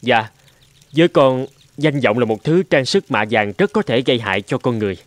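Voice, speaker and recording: A man's voice: medium pitch, expressive, fairly quick, no room sound, lightly acted. Polite, earnest young man voiced by a male actor. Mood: respectful, resolved. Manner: respectful, earnest. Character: polite, idealistic young man, doctor's apprentice turned physician. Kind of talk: dubbed cartoon dialogue.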